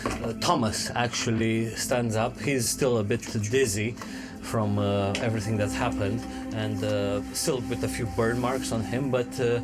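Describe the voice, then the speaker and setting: Male game master, slow and hesitant, music under him. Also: on the low side, steady tone. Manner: hesitant, many fillers. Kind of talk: narrating a tabletop game.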